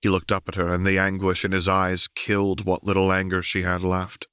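Low-voiced man narrating fiction. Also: monotone, a little fast, fairly bright tone, smooth, dry recording, studio quality. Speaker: audiobook narrator. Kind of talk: dramatic scripted fiction narration.

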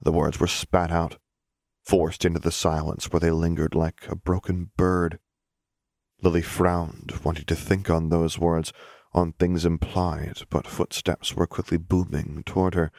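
Low-voiced man narrating a story. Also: little pitch movement, measured pace, creaky, darkish tone, no echo, clean studio sound. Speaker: audiobook narrator.

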